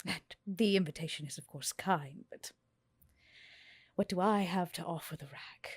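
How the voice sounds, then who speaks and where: A woman playing her character in a tabletop game, haughty and measured. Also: fairly low pitch, husky, no room sound. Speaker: player. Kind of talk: in-character roleplay.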